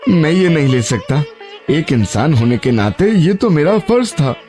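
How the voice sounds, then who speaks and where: A poor, honest labourer in a moral cartoon. His slightly high voice swings widely with earnest feeling, always humble and deferential, over a soft music bed. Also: sing-song, unhurried. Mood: humble, grateful. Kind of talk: animated moral story acting.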